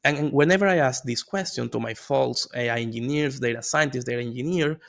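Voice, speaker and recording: Gravelly man lecturing. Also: mid-range voice, fairly quick. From Venezuela. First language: Spanish. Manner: energetic, conversational, frequent "okay?" and "right?" tags. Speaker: AI consultant presenting a course. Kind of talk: informal online lecture.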